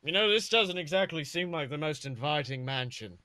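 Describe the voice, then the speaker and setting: A man's voice: fairly high pitch, animated, normal speed, bright, forceful tone, no echo. A man voicing a bewildered, wary pirate captain in a comedic story reading, hesitant and full of puzzled questions. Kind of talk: comedic fan fiction reading.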